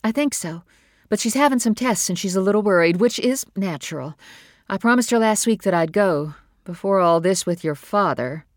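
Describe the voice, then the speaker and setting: A woman reader voicing a weary, stern mother who scolds her son in brisk, insistent lines. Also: medium pitch, animated, no echo, clean studio sound, voice pushed up, natural voice. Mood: worried. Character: stern tired mother, woman, middle-aged.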